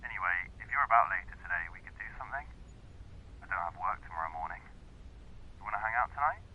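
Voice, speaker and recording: A man's voice: low-pitched, expressive, fast talker, sharp tone, dull audio, a little hiss or hum, full character voice. A young man playing a self-deprecating, slightly lost lad who chats casually with his mate in an amateur audio drama. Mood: easygoing. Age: young adult. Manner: casual, matey.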